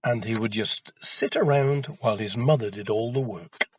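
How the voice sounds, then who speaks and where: A man narrating a children's folktale as an expressive storyteller. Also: on the low side, moderate pace, slight background noise.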